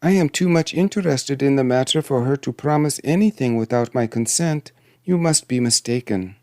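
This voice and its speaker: A man's voice: mid-range voice, normal speed, darkish tone, no echo, studio quality, pitched above the performer's own voice, close to an everyday voice. A man voicing a proud young fairy tale princess with a plaintive, haughty manner, in a storybook reading. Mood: indignant.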